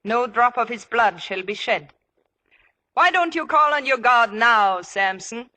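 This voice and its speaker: A scorned, vengeful temptress of the ancient world. Her voice is sharp and forceful, with bitter, theatrical command in 1950s radio style. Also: on the high side, lively intonation, unhurried. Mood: bitter, scornful. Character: spurned, vengeful seductive temptress of biblical times. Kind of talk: 1950s radio drama acting.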